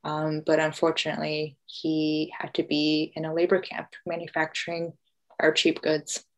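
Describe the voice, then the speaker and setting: An American woman giving thoughtful, halting explanations as an author interviewed about her book. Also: sits low, completely flat delivery, low-quality recording. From United States. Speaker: author and journalist being interviewed. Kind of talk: conversational book talk interview.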